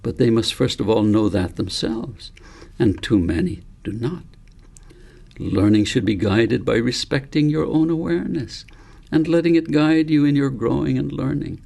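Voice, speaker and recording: A man reading as a gravelly, wise elephant mentor. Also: medium pitch, darkish tone. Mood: stern, critical. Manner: firm, instructive, deliberate. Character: wise, adamant male elephant mentor, encouraging and earnest. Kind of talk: storybook character read by the author.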